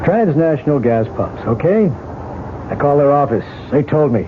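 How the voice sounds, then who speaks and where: An actor playing a skeptical, matter-of-fact police lieutenant, blunt and reasoning, in old radio mystery style. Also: male voice, a little high, lively intonation, moderate pace, natural voice. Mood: firm, skeptical. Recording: hissy, phone-like old radio broadcast.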